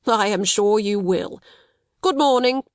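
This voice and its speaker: A woman's voice: medium pitch, brisk, no echo, lightly acted. A woman voicing a shrewd, unruffled businessman in a storybook, speaking in a detached, faintly sinister manner. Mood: slyly sinister. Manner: detached, smooth-talking, uninterested.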